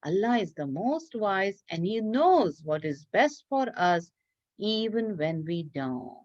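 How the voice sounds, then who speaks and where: A woman telling a story and teaching children in an expressive, encouraging, teacherly way over a video call. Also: medium pitch, slow pace. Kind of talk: read-aloud storytelling and quizzing children in an online class. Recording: dull lo-fi online class audio.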